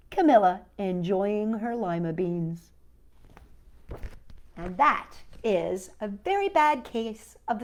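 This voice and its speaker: A woman hosting children's storytime, reading picture books aloud with enthusiastic, chatty asides to young viewers. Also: mid-range voice.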